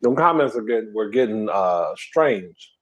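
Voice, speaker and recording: A man hosting a casual eating stream, talking softly and half-whispering in a relaxed, drowsy way while reading comments. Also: on the low side, a little slow. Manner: soft, quiet, half-whispered talk. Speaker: ASMR mukbang channel host.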